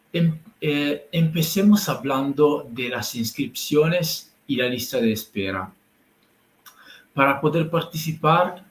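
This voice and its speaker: Slow-talking Italian man on a livestream. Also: mid-range voice, roomy sound, a little hiss or hum. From Italy. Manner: hesitant, halting, switching between Spanish and English. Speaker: event organizer hosting a live online meeting. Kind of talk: livestream briefing.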